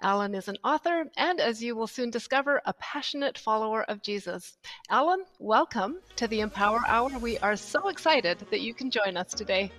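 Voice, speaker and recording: A woman's voice: a little high, lively intonation, normal speed, a bit bright, soft background music, rough recording. A woman reading a prepared, formal introduction of a guest speaker on an online show.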